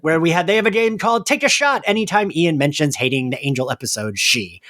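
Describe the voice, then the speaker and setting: A man's voice: a little high, animated, fast talker, fairly bright tone. A man hosting a podcast in casual, self-deprecating chat, given to giggling. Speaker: podcast host.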